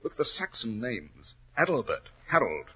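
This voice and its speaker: An actor playing a commanding, coolly confident detective in a 1940s radio drama, with crisp, formal, dryly ironic delivery. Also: male voice, medium pitch, wildly swooping pitch, normal speed, bright, forceful tone, heavily acted. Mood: calm, assured. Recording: old 1940s radio recording.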